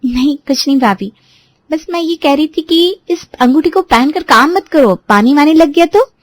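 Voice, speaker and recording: A woman's voice: high voice, moderate pace, dry recording, voice pushed up, lightly acted. A woman voicing a chatty young sister-in-law who wheedles and pleads nervously. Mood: nervous pleading.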